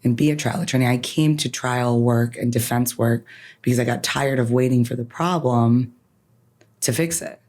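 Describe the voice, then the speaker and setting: A woman's voice: a bass voice, steady tone, moderate pace, a little mellow. Earnest woman attorney, says "right?" a lot. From Canada. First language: Farsi. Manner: earnest, emphatic, often ends points with "right?". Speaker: guest, attorney. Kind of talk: podcast interview, personal reflection.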